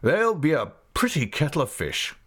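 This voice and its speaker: A mild, childlike older gentleman, as a male narrator plays him in a storybook. He talks hurriedly and earnestly, a touch flustered, in a slightly raised voice. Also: a little high, sing-song, moderate pace, voice pushed up. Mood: eager. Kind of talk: audiobook character voice.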